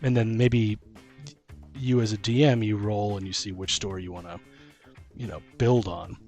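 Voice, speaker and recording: A man's voice: fairly low pitch, steady tone, normal speed, darkish tone. A man co-hosting a casual podcast about tabletop gaming, talking as a dungeon master in a rambling way, thinking aloud. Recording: music playing behind the talk. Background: music.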